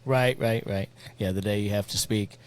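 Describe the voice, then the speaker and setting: A man's voice: on the low side, fairly even intonation, normal speed. A man hosting a casual podcast, encouraging his guest and rambling through his thoughts. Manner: encouraging, rambling, restarts often. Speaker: podcast host. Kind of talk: casual conversation.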